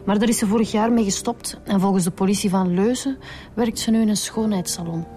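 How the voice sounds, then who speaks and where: An actress playing a diligent, brisk police detective in a Flemish radio drama, with a Flemish accent. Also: female voice, mid-range voice, warm tone, natural voice. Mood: businesslike.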